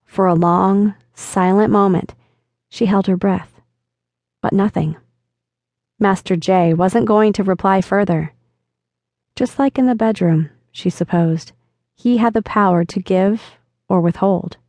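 A woman audiobook narrator reading a novel in a steady, intimate storytelling voice. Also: mid-range voice, measured pace, long pauses, no room sound. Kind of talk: scripted narration.

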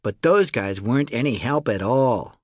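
A man reads a first-person children's audiobook in a conversational, storytelling way. His mid-pitched voice moves around in animated swings, recorded close and dry with no room sound. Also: normal speed, no echo. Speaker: audiobook narrator.

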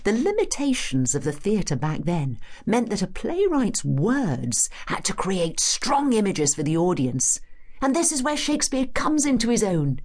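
A woman's voice: medium pitch, unhurried. A woman playing a knowledgeable, encouraging tour guide in a scripted educational audio drama, with a British accent and a teacherly manner. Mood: informative, encouraging. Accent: British English. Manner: expressive, clear explanatory delivery, teacherly. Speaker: guide and narrator.

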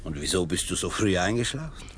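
An actor playing a terse, probing police sergeant in a radio drama, with dry questioning. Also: male voice, deep voice, big pitch swings, a little fast, a bit bright, a little hiss or hum. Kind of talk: German radio drama acting.

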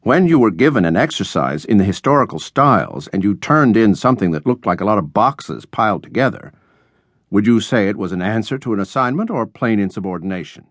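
A man voicing a stuffy, formal older male college dean who speaks in measured, disapproving phrases for a storybook. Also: on the low side, expressive, no room sound. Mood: lecturing, exasperated.

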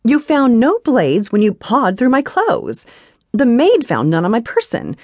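A woman voicing a proud, defiant young noblewoman warrior bride who talks haughtily and turns shrill when she shouts. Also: wildly swooping pitch, measured pace, no room sound, exaggerated voice. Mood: indignant.

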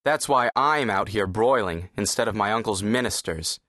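An actor playing a bored, sarcastic young prince with an aristocratic, disdainful manner, in an audiobook. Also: male voice, lowish voice, lively intonation, normal speed, sharp tone, no room sound, clean studio sound. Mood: wry disdain. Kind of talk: full-cast audiobook character acting.

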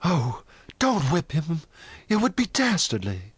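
A male narrator acts out a tender young woman from a western storybook. She pleads anxiously, her courage faltering. The voice is husky and closely recorded, with little room sound. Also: medium pitch, sing-song, slow, darkish tone, dry recording, exaggerated voice. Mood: pleading, faltering. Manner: imploring, faltering. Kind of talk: audiobook character dialogue in a western.

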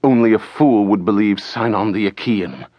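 A man's voice: fairly low pitch, moderate pace, dry recording, muffled recording, lightly acted. A man voicing a young woman prophet in an audiobook, urgent and scornful because nobody believes her.

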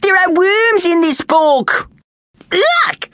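A woman's voice: very high-pitched, huge pitch swings, very slow, bright, forceful tone, dry recording, voice pushed up, heavily acted. A woman voicing whiny, squeamish schoolchildren with wailing delivery in a children's storybook. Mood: shrieking. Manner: wailing, screechy.

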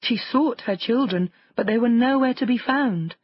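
A woman narrating a children's fairy tale audiobook in an expressive storytelling way. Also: fairly high pitch, normal speed, breathy edge, no room sound, dull audio.

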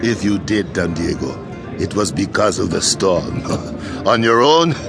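A swaggering army sergeant from an old Spanish colony, fond of drink and of himself. His voice is gravelly and swoops widely as he blusters and jeers in a radio-play manner, at an unhurried pace over music. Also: sits low, lively intonation, exaggerated voice. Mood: jovial flattery. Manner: bombastic, mocking, laughs loudly at own jibes. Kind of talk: audio drama acting.

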